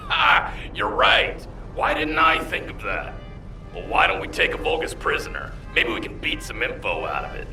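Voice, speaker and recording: Cocky rule breaker soldier, super raspy creak, really sharp, echoey game dialogue. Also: male voice, a little high, huge pitch swings, normal speed, full character voice. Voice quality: gravelly, sharp. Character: brash, scheming rule-bending male soldier. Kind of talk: video game voice acting. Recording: roomy, distant, music behind.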